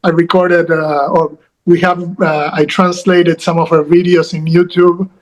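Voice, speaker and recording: A man with a somewhat high voice talks through an interview over a video call. He pauses often and fills the gaps with fillers, circling back and restarting his sentences. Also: level tone, low-quality recording. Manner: halting, many fillers and restarts. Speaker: guest author. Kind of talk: conversational interview.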